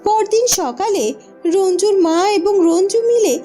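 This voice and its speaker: A woman's voice: very high-pitched, unhurried, dark tone. A woman reading scripted story narration as narrator, with music behind her.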